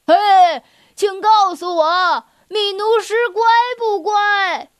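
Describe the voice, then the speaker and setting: A woman's voice: sits high, normal speed, dry recording, studio quality, natural voice. A woman voicing an impatient male circus lion who barks demands, in a storybook reading. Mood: bossy. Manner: barking, demanding.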